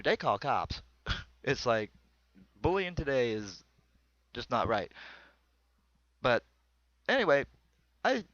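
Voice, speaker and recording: A dry, slightly dull recording of a middle-aged American man with a medium-pitched voice. He delivers a comic monologue of personal stories, rambling along in everyday, salty language. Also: fairly bright tone, dry recording. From small town in northwestern Pennsylvania, United States. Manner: colloquial, rambling, crude-humored. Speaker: comedian telling stories.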